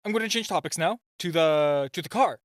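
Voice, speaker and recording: A man's voice: a little high, expressive, dry recording, clean studio sound, close to an everyday voice. A man playing a super-shy spy gadget guy in a romantic comedy audio roleplay, nervous and stammering, easily flustered. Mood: businesslike, exasperated. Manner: nervous, stammering, halting, self-interrupting.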